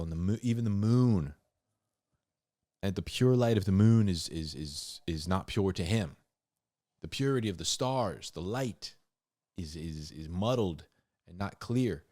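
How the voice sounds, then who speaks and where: A man hosting a Bible reading, mixing scripture with informal, slangy commentary and animated asides. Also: low-pitched, dry recording, studio quality. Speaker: host of a Bible reading show.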